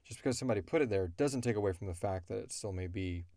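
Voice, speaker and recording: A man with a fairly low voice hosts a casual podcast. He talks in a rambling, explanatory way, and the recording is dry with little room sound. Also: quick pace, warm tone, no room sound. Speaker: podcast host and show creator answering listener questions.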